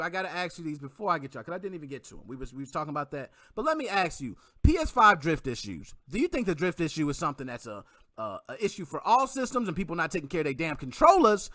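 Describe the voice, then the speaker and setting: A man's voice: on the high side, very animated, fast, fairly bright tone, no room sound, studio quality. A man co-hosting a casual livestream, full of hype slang, laughing often and asking "you know what I mean".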